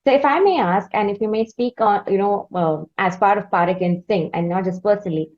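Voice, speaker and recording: A woman's voice: mid-range voice, a little fast. Indian woman interviewer, slightly muffled audio. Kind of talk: formal, polite interview questions.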